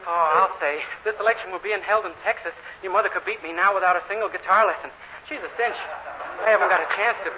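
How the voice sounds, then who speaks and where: An American man playing a naive, eager, dim-witted young man in an earnest, excitable 1940s radio comedy style. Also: high-pitched, fast, natural voice. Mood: pleased, bragging. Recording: hissy, muffled old radio recording.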